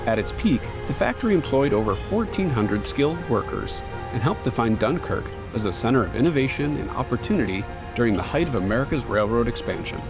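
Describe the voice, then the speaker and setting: A man's voice: mid-range voice, big pitch swings. Man narrating over music. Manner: measured, formal delivery. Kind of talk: scripted documentary narration. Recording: music bed under voice.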